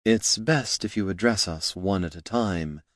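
A man's voice: deep voice, a little slow, a bit bright, no room sound, studio quality. A man voicing a proud, combative young woman mage in an audiobook, with a brash, cutting manner. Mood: curt.

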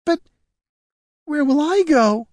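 A man voicing a naive young noblewoman in an audiobook, fretful and highly emotional. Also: expressive, slow pace, no echo, full character voice. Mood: hurt disbelief. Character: naive, panicky young noblewoman, tearful and indignant. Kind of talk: audiobook character dialogue.

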